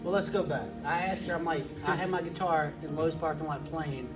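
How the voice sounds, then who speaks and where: Quick animated man in his thirties. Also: on the high side, distant mic, over loud music. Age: 30s. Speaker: band guitarist.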